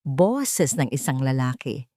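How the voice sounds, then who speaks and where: A woman's voice: a bass voice, very animated, slow pace, warm tone, no room sound, clean studio sound. A woman narrating a scripted drama story in a suspenseful, emotive manner.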